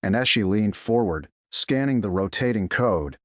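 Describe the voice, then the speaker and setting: Low-voiced man narrating a dramatic story. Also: animated, normal speed, no room sound, clean studio sound. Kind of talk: scripted dramatic fiction narration.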